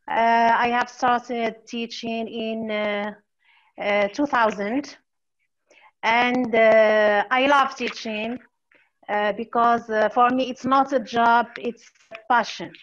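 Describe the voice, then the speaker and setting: Middle-aged woman, Moroccan accent, teacher on a call: slow delivery, slightly high voice, lo-fi sound. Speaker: English teacher, guest. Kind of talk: informal self-introduction on a video call. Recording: dull, lo-fi call audio.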